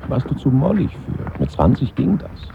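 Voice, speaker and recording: Dead man, radio play, bitter, anxious, gravelly monologue, muffled phone-like noisy recording. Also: mid-range voice, huge pitch swings, normal speed. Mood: wry. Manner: brooding monologue to absent listeners. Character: dead man at his own funeral, bitter, weary, anxious. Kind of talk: German radio play acting. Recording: muffled phone-like sound, strong background noise.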